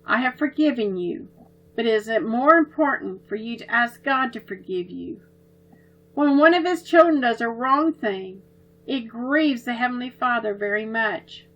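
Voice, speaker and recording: A woman voicing a kind older brother in a storybook, gently firm and patient as he teaches his sisters. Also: a little high, expressive, a little slow, muffled recording, soft background music. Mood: teaching.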